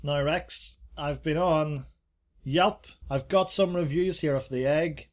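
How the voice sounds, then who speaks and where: Chatty man, fairly high voice. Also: slow, soft background music. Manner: chatty, reads reviews aloud with playful asides. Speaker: podcast co-host. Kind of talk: casual chat reviewing bars.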